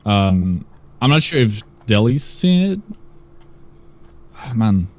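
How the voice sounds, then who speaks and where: A young man chats on a live stream. his voice sits a little low, and he rambles as he gropes for words, leaning on "like" and "um" along the way. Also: very animated, takes it slowly. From Russia. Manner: rambling, searches for words with many fillers. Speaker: guest. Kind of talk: casual stream chat.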